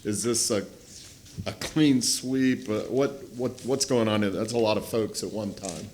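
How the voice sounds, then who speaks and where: Plain-spoken, lower-voiced council member. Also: male voice, a little slow, darkish tone, slight background noise. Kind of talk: questioning staff at a meeting.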